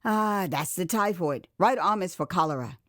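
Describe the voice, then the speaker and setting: A woman voicing a brisk, no-nonsense Liberian nurse with a Liberian accent, bossy and unyielding, in an audio drama. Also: lowish voice, lively intonation, fairly bright tone, no room sound, studio quality. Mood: brisk, firm. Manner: firm, bossy, dialect phrasing.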